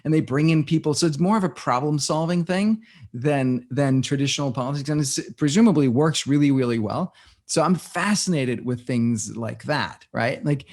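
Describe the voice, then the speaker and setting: A man's voice: a little high, a little mellow. A man from Denmark, a guest in a casual interview, thinking aloud with many restarts. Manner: thinks aloud, with frequent restarts and searching for words.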